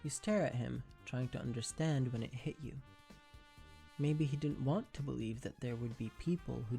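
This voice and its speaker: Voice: medium pitch, dark tone. A narrator reading second-person fan fiction as scripted storytelling.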